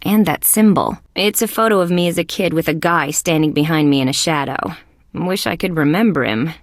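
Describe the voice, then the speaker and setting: Wry young lady detective for a video game, deadpan and sarcastic, bit low with lots of fry. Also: fairly quick, no echo, studio quality. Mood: wistful, guarded. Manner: deadpan, sardonic. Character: wry young female police detective, dry and skeptical. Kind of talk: scripted game character lines.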